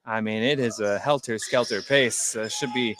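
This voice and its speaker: A man giving live colour commentary on a basketball game, analysing in a conversational, halting way. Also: fairly low pitch, fairly even intonation. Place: indoor high school gymnasium.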